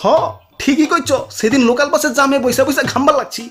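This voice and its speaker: Man voicing a fast, grumbling cartoon village lad. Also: extremely high pitch, fairly even intonation, fairly bright tone. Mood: sarcastic grumbling. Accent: Bangladeshi, Dhaka-area dialect. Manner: complaining, exaggerated, slangy. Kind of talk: comic cartoon dialogue.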